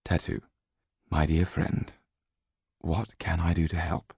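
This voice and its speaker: Male reader as courteous audiobook gentleman, thoughtful friend; husky bass with some gravel, measured phrasing. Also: little pitch movement, no echo, clean studio sound, heavily acted. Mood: confiding, helpful. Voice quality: very deep, husky. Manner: genteel, measured, confiding. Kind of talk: audiobook character acting.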